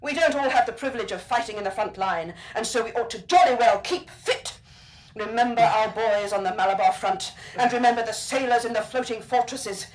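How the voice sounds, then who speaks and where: A woman playing a zealous, bossy exercise instructor of an authoritarian state in a radio drama, brisk and hectoring. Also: a little high, lightly acted, low-quality recording. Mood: scolding zeal. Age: 30s.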